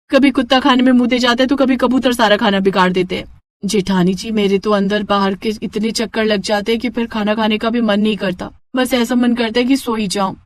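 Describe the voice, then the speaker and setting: A woman's voice: on the high side, no room sound, clean studio sound, pitched above the performer's own voice, close to an everyday voice. A woman voicing a fed-up young daughter-in-law in a storybook tale, complaining plaintively. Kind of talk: animated Hindi moral story dialogue.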